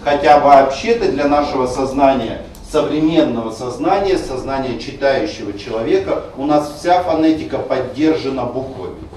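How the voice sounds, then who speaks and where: A man's voice: mid-range voice, moderate pace, hardly any pauses, a little mellow. Guy teaching a lecture, mic far off, super echoey room, little hiss. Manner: explanatory, demonstrating pronunciation aloud. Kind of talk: screencast lecture on Russian stress. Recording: very echoey room, distant mic, faint noise.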